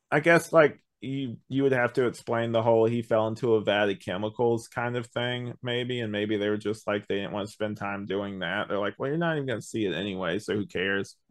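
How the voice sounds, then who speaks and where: A man chatting casually as a podcast host, hedging and thinking out loud as he reviews. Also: fairly low pitch.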